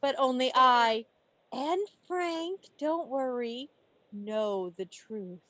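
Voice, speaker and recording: Slow-paced, high-voiced woman quiz host. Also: fairly bright tone, no room sound. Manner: playful, teasing, theatrical counting and asides. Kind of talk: playful live quiz hosting. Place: remote video call. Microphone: video call microphone.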